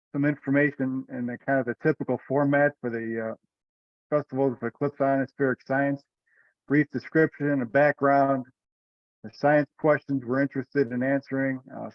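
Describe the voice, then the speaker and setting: Male meeting chair, relaxed and colloquial, mid-pitched, on slightly muffled call audio. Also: no echo. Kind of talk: informal online meeting talk. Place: online video conference call.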